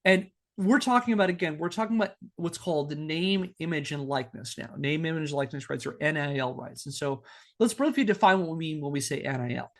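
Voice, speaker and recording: A man with a slightly high voice hosts a podcast. He presents prepared material like a storyteller giving a lesson, laying out points in careful, instructive lists. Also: animated, a little fast.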